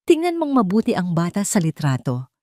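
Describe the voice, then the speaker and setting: A woman voicing a courteous, sympathetic male attorney in an audio story, speaking in a measured, formal way. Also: on the low side, huge pitch swings, warm tone, dry recording, studio quality. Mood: careful, insistent.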